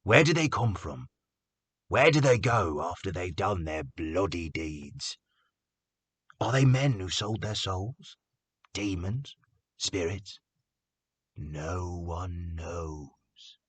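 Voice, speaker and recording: A man voicing a quarrelsome, sharp-tongued old village man who scolds the people around him. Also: fairly low pitch, animated, fast talker, gravelly, few pauses, slightly rough, no echo, full character voice. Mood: hushed, ominous.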